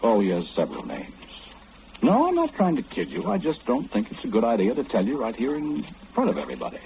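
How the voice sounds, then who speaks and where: An actor playing an eerie, all-knowing mind reader in old radio horror, speaking slyly and teasingly, straight to the listener. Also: male voice, medium pitch, wildly swooping pitch, fast, vocal fry, mellow. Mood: sly, ominous. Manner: sly, insinuating, theatrical, speaking confidingly to the listener. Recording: muffled, noisy old radio broadcast.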